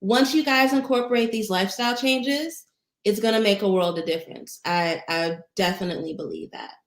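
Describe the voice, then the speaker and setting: A woman's voice: mid-range voice, expressive. Soothing woman in a roomy space. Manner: gentle, encouraging, unhurried. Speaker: meditation teacher. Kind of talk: casual livestream Q&A. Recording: roomy echo.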